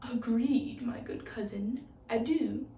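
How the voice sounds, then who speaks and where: A woman's voice: a little high, slow pace, warm tone, slight background noise. A teenage young woman voicing a bossy, scornful male inventor in a storybook. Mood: agreeable. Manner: haughty, scornful. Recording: roomy, distant microphone.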